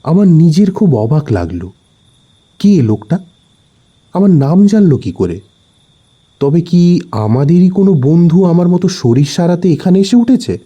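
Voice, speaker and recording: Slow, dark-voiced male storyteller. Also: on the high side, very animated, dull audio. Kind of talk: suspenseful horror audio story narration.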